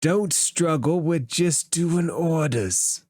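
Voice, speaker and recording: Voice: medium pitch, takes it slowly, darkish tone, pitched above the performer's own voice. A game master voicing an insistent, terse vampire henchman in a tabletop game. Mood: coaxing, firm. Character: vampire henchman pressing a captive to come along.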